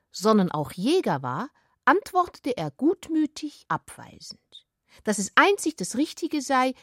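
A woman reading literary narration for a radio play in a measured, articulate, expressive way. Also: medium pitch, no echo, clean studio sound.

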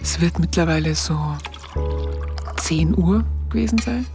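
A woman's voice: fairly low pitch, music in the background. Wistful Bavarian woman reminiscing. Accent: southern German, Bavarian. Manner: colloquial, reminiscing, halting. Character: Christel looking back, wistful, warm-hearted woman storyteller. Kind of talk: first-person radio drama monologue.

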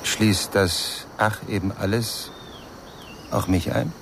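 Low, gravelly man, probing. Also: steady tone, slow, background noise. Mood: wry, probing. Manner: blunt, probing, dry. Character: probing, cynical yet gentle man. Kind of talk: German radio crime drama acting.

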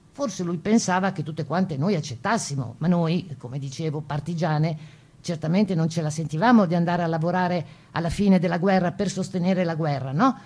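A woman's voice: on the low side, warm tone, natural voice. An actress playing a proud woman partisan, recounting her wartime memories as emphatic theatrical testimony. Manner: emphatic storytelling, acting out quoted exchanges. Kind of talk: theatrical first-person testimony monologue.

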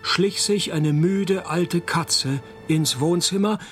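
Sardonic private eye from a radio play, deadpan, slightly high male voice. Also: takes it slowly. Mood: deadpan narrating. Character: laconic, wisecracking male private detective. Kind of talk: radio play narration and dialogue.

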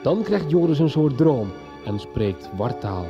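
Animated male radio serial narrator. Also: medium pitch, a little slow. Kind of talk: scripted narration, recap. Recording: slightly dull radio recording, music behind. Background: music.

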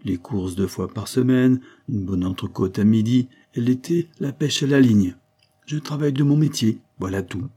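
A man's voice: mid-range voice, moderate pace, dark tone, slightly rough, voice pushed up, natural voice. A man voicing a fat, middle-aged chemist in an audiobook, blustering and evasive, with colloquial, forced joviality. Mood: jovial, reassuring. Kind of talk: audiobook character dialogue.

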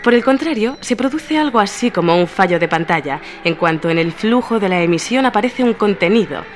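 A woman's voice: medium pitch, lively intonation, a little fast, a little hiss or hum. A woman from reading a scripted, satirical essay as a radio programme narrator. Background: music.

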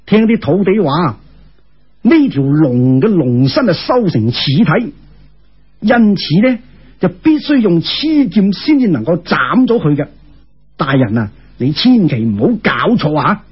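A man voicing a wise, composed Taoist immortal in a Cantonese folk tale, with a measured, explanatory manner, on a lo-fi recording. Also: a little high, sing-song, husky, heavily acted. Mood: cautioning.

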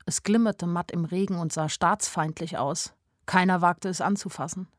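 An actress playing a naive, sharp-eyed young woman who tells her own story in a radio play, artless and plain-spoken. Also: fairly low pitch, fairly even intonation, moderate pace, no echo, studio quality, close to an everyday voice. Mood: matter-of-fact. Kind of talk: first-person radio play narration.

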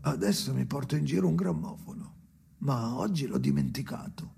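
Troubled man, radio drama, very gravelly, halting, needy, loud ambient noise behind. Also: a little high, animated. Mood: brooding. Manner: halting, brooding, breaking into urgent pleading. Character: Henry, troubled, needy man haunted by his dead father. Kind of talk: theatrical radio drama acting. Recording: noisy recording with ambient sound.

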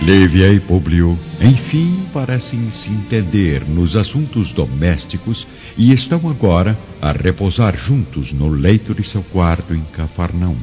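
Very deep, dark male narrator. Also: expressive, a little slow, hardly any pauses. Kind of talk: scripted radio drama narration. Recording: muffled lo-fi radio drama, music underneath.

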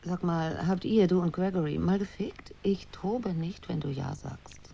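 A woman's voice: on the low side, unhurried, creaky, mellow, a little hiss or hum. An actress in a German radio drama playing a jealous, restless married woman who needles others in an intimate, pointed way. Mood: confrontational.

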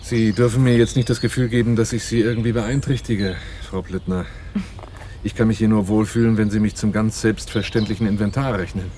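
A male actor plays a wary young man hired as a bodyguard in a 1980s radio play. His voice is low and mellow, speaking plainly in dialogue and narration over a faintly noisy recording. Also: steady tone, fairly quick, close to an everyday voice. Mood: composed.

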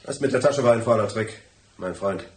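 A man's voice: on the low side, brisk, close to an everyday voice. Man playing a brusque, domineering man, echoey room. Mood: brusque, demanding. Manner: forceful, brusque, demanding. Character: domineering, impatient man cornered in a crime plot. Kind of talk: German radio crime drama acting.

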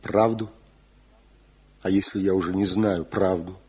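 A man's voice: sits low, fairly even intonation, unhurried, a little mellow, slightly rough. An actor playing a defiant, embittered craftsman and rival in a Soviet radio play, with a challenging, theatrical delivery. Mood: bitter, cold. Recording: dull, muffled 1970 radio play recording.

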